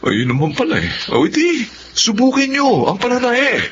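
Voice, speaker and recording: A man playing a helpful, eager older brother in a radio drama, colloquial and animated, with a Filipino accent. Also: very high voice, fairly quick, exaggerated voice. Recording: muffled, slightly noisy radio drama audio.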